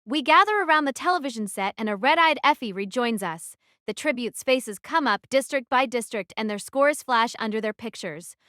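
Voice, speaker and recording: A woman's voice: on the high side, lively intonation, moderate pace, hardly any pauses, fairly bright tone, dry recording, clean studio sound. A woman playing a defiant, brooding teenage girl who narrates her own story in an audio drama. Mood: somber. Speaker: narrator and lead actress.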